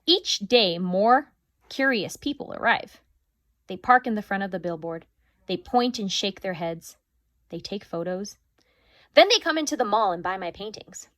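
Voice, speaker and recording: A woman teacher reading a chapter book aloud to her class, with expressive, lively storytelling. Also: medium pitch, normal speed, dry recording, clean studio sound.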